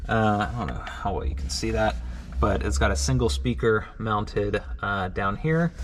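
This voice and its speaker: A man speaks in a fairly low voice, in the informal style of a hands-on vlog. He thinks aloud as he looks things over, talking casually to the viewer. There is faint noise and ambience behind his voice. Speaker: car owner and vlogger.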